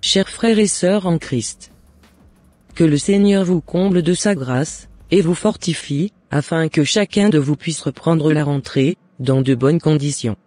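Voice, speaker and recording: Mellow-voiced woman giving a devout sign-off. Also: sits low, no room sound. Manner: devout, measured. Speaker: channel co-host. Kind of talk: scripted religious blessing and channel sign-off.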